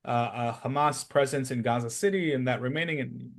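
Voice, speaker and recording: A man's voice: mid-range voice, a little fast, gravelly. A man discussing politics analytically as a podcast host, explaining his points step by step with emphasis.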